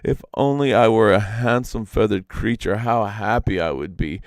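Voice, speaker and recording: A vain little spider fairy trickster, acted out by a man reading a storybook, full of envy and longing. The delivery is theatrical, sighing and imploring, pitched up from a low natural voice and recorded close and dry. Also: moderate pace, no room sound, close to an everyday voice. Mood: wistful pleading.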